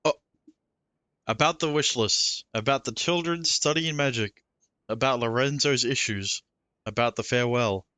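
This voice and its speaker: A man's voice: mid-range voice, normal speed, pushed and bright, no echo. A man streaming a video game, reading options aloud close to the microphone.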